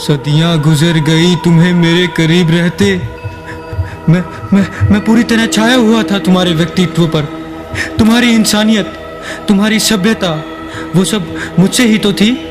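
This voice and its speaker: A man's voice: high voice, fairly even intonation, measured pace, over music. An actor playing a ragged, tormented man who has lost his identity, stammering and repeating himself in theatrical radio drama style. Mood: anguished, bewildered.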